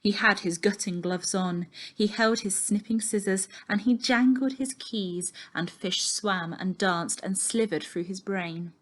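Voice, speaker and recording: A woman reading a children's story aloud as narrator, expressive and giving lists emphatic weight. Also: mid-range voice, measured pace, hardly any pauses. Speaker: story reader.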